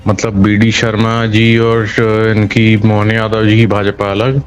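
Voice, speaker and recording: A man's voice: on the low side, completely flat delivery, slow pace, muffled recording, faint music behind. A man answering an interviewer in a colloquial way, often repeating phrases for emphasis. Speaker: local political party member being interviewed.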